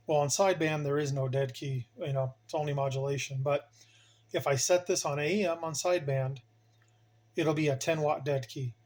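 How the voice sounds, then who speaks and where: Male hobby demonstrator, a little higher than average in pitch, informal, colloquial, emphatic explainer. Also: darkish tone. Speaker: CB radio hobbyist host giving a demonstration. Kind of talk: casual hands-on demonstration, explaining as he goes.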